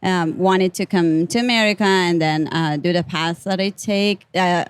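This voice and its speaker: A woman with an Iranian accent talks as a guest on a casual podcast, her voice lowish and smooth. She chats in a loose, friendly way, full of fillers and small laughs. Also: moderate pace. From Iran. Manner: relaxed, chatty, many fillers and little laughs. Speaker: guest, pediatric dentist.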